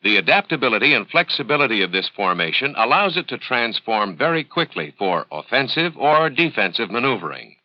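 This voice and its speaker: An American man narrating a formal instructional film script in a measured, authoritative, precise manner, on an old 1970s film soundtrack. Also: medium pitch, sing-song, no echo. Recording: dull, dry 1970s training film soundtrack.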